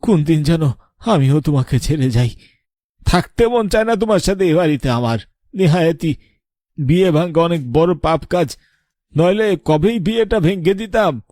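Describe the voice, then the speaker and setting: A man's voice: on the high side, lively intonation, moderate pace, darkish tone, no echo, clean studio sound, close to an everyday voice. A man voicing a weary, reproachful middle-aged husband in a cartoon drama. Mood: reproachful, fed up. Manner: scolding, weary, moralising. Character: reproachful older husband, grieving father-in-law. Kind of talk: animated horror story drama dialogue.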